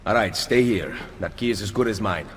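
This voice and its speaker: A man's voice: on the low side, brisk, breathy edge. A man playing a resolute, composed assassin leader with an Italian accent in a video game. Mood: calm, dry. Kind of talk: video game cutscene acting.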